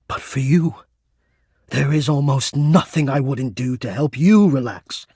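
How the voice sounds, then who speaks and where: An actor playing a shy, devoted, lovestruck male air-vent spirit in a romance video game, with breathy, formal, florid and earnest delivery. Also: medium pitch, measured pace, dark tone, dry recording, clean studio sound. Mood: earnest, hesitant.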